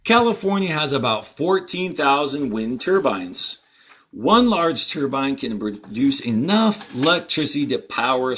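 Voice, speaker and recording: A man who teaches fourth grade, reading a textbook aloud with deliberate emphasis on key words and short instructional asides. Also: fairly high pitch, a bit bright.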